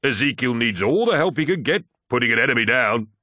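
Guy doing a cocky friendly soldier in an audiobook, teasing his mates, lively n bright, bit husky. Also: low voice, normal speed, no room sound, studio quality, full character voice. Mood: mocking. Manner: sniggering, mocking banter. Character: jovial, teasing male soldier, hearty brother-in-arms.

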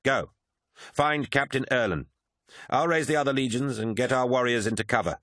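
Man playing a haughty, high-pitched swaggering swordsman. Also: moderate pace, fairly bright tone, no room sound, clean studio sound, close to an everyday voice. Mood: resolute. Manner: haughty, emphatic. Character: swaggering, petulant elite swordsman soldier, proud and bitter.

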